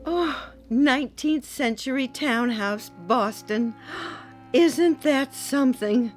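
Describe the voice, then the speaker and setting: A woman's voice: high voice, slow pace. An actress playing a wistful, easily delighted married woman out sightseeing in a radio drama, gushing with exclamations. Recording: radio play with soft music underneath.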